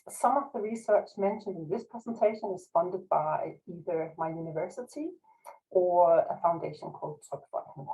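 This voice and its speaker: A woman's voice: lowish voice, dark tone, low-quality recording. A woman from Denmark with a Danish accent giving a modest, careful online lecture with frequent restarts as a university researcher. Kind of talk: online presentation. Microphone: video call.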